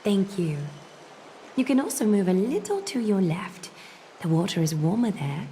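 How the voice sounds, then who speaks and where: An actress voicing a composed, courteous noblewoman in a fantasy video game, speaking in a poised, formal, gently teasing manner. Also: medium pitch, very animated, moderate pace, slight background noise, natural voice. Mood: inviting. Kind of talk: scripted video game voice acting.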